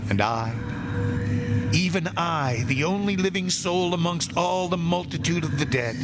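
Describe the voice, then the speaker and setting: An actor playing an anguished, wronged condemned man's soul, narrating a radio play in a dramatic, halting, theatrical way. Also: mid-range voice, natural voice. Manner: dramatic, halting, repeating words in dread. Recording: noisy live stage recording.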